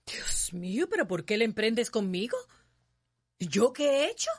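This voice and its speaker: A woman's voice: fairly high pitch, very animated, unhurried. An actress playing a timid, pleading middle-aged wife and mother in a radio drama, with a Cuban accent and an appeasing manner. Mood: pleading, hurt. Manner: appeasing, plaintive.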